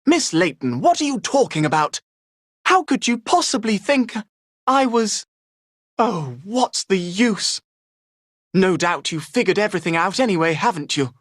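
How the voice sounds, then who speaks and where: A man playing a polite, flustered young gentleman in a game, well-spoken and excitable. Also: high-pitched, a little slow, dry recording, studio quality, exaggerated voice. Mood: flustered, resigned. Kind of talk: scripted game voice acting.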